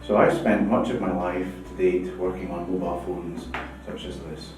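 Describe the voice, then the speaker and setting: A middle-aged man, a professor, explaining his research project to camera in a measured way. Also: low-pitched, steady tone. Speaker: professor of communications and project coordinator. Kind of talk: interview to camera. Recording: echoey room from far off with music behind.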